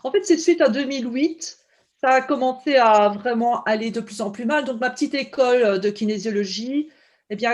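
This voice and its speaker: Reflective Belgian woman in her fifties. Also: on the high side. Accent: Belgian French. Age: 50s. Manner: reflective, many hesitations. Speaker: guest, kinesiology coach. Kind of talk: interview answers.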